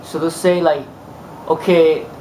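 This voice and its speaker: A man's voice: a little high, level tone, measured pace, mellow, roomy sound, slight background noise, rough recording. A man from the United States, a martial arts instructor talking casually in a rambling way, with many fillers and restarts. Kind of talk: casual monologue.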